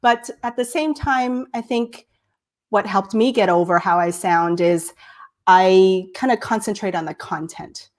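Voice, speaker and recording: A woman's voice: medium pitch, unhurried. Enthusiastic slightly nasal woman host. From Texas. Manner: enthusiastic, many "right?" and "I love it". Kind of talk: live-streamed interview.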